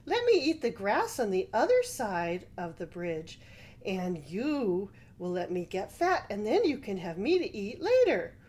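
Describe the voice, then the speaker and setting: A woman's voice: medium pitch, wildly swooping pitch, a little slow, darkish tone. A woman voicing a small, childlike male baby goat, pleading and clever, in a children's story.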